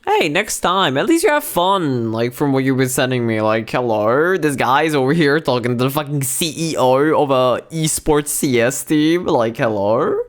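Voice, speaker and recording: A young man with a worn-out, hoarse voice, chatting casually and profanely to his stream from his bedroom, with lots of restarts and slang. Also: mid-range voice, very animated, moderate pace, hardly any pauses. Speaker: VTuber streamer. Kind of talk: casual livestream chat. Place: own bedroom.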